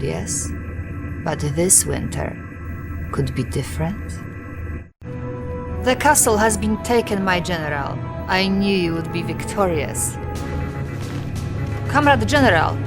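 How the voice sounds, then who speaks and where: An actress playing a devoted Soviet intelligence officer with a Russian accent, earnest and measured, briefing in a video game. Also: female voice, on the low side. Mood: tender, concerned.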